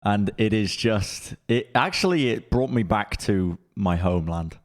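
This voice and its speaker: A man's voice: fairly low pitch, animated, a little slow. A man hosting a podcast, chatting casually and steering the talk with wry jokes. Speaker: podcast host. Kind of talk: casual chat.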